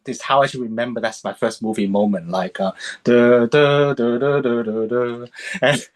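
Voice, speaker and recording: Man in his thirties, film critic guest, mid-pitched voice, easygoing and rambling, full of fillers. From Hong Kong, raised in Sydney. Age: 30s. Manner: relaxed, rambling, many fillers and restarts. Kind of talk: casual interview chat.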